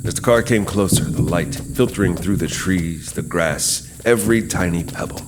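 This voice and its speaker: A man narrating a horror audio drama as host, in a measured, dramatic storytelling manner with pauses. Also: low-pitched, very noisy.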